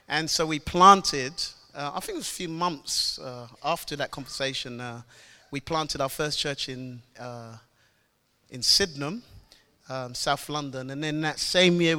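A man in his fifties giving a guest sermon, his voice a touch higher than most men's and notably bright and forceful. He swings from fervent, shouted praise to a chatty, laughing storytelling tone. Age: 50s. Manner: loud fervent worship calls, then chatty storytelling with laughs. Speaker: guest pastor. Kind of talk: sermon with worship and personal story.

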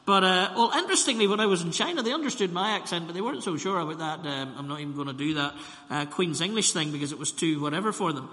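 A man with a Northern Irish Ballymena accent, a minister preaching a sermon, telling stories and slowing down to repeat phrases for emphasis. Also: on the high side, animated, sharp tone. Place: church. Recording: long church reverb.

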